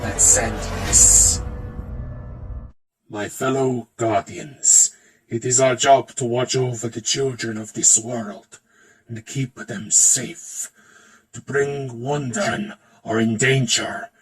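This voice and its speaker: Very husky solemn warrior leader acted by a man. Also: medium pitch, steady tone. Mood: solemn, urgent. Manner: grave, commanding, deliberate. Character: solemn, commanding fantasy warriors and guardian leaders. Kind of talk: dramatic character voice acting reel. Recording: slightly distant, music behind.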